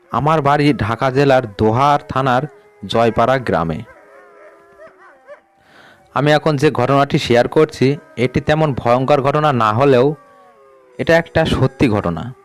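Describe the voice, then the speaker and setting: A man narrating a scripted ghost story in a measured way, sinking lower and quieter at the grim moments.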